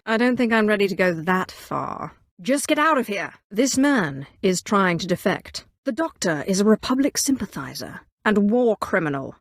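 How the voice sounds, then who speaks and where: An imperious female Sith sorceress from a video game, acted by a woman, speaks at a medium pitch. Her delivery is clipped and commanding, edged with dry sarcasm and cool menace. The sound is close and free of any room. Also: no room sound, natural voice. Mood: curt, dismissive, then cool, controlled. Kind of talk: scripted game dialogue, standalone voice lines.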